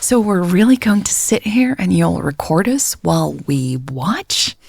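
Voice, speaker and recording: A teasing, quick-witted woman in an audio romance, voiced by a woman narrator. Her voice is husky with a creaky edge. She banters playfully and challenges her lover. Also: lowish voice, very animated, a little mellow, heavily acted. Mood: teasing, challenging. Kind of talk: audio story dialogue.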